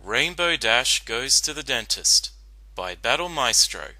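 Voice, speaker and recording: A man reads a story aloud as narrator in a dramatic style. His voice sits at a medium pitch with a very bright, forceful edge, recorded dry and close. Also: sing-song, unhurried, dry recording, poor audio.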